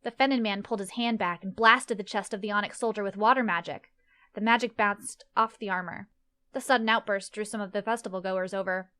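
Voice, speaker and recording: A woman's voice: medium pitch, fairly even intonation, fairly quick, bright, forceful tone, clear, resonant tone. A woman narrates a scripted fantasy tale. Her voice sits in a middle range. She reads as the storyteller of an audio play.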